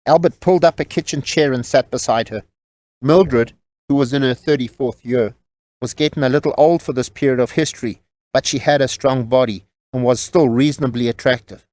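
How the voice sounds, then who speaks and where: Man narrating a novel, mid-pitched, dry sound. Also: brisk, darkish tone, no room sound.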